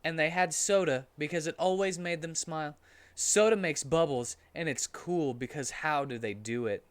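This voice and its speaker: A man's voice: fairly high pitch, moderate pace. Man giving a mock-serious dramatic reading. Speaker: streamer reading a story aloud.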